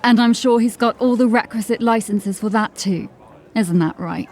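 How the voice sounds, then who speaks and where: An actress playing a dry, sardonic city watch officer in a fantasy audio drama, wry and knowing. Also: female voice, fairly high pitch, normal speed, a little mellow.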